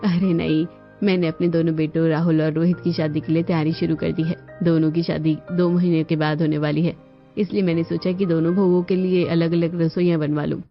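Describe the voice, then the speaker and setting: A woman's voice: deep voice, level tone, fairly quick, muffled recording, soft background music. Woman voicing a firm, cautious cartoon mother. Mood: firm, wary. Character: firm, cautious mother planning her sons' households. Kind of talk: animated family drama dialogue.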